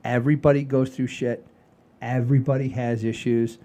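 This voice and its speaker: A man hosting a comedy show in casual chat, halting and repeating phrases for emphasis. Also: mid-range voice, little pitch movement, warm tone.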